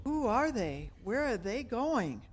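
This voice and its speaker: An inquisitive, concerned lady sidekick, played by a woman in vintage radio drama style. Her voice sits somewhat high. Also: sing-song, takes it slowly, in a large hall. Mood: curious. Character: concerned, inquisitive female companion of the hero. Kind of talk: 1930s radio crime drama acting.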